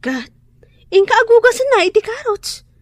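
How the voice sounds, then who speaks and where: A high, blunt Ilocano friend. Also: female voice, big pitch swings, moderate pace, no room sound, studio quality. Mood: scolding. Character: blunt, scolding woman friend refusing to lend money. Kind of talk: radio drama acting.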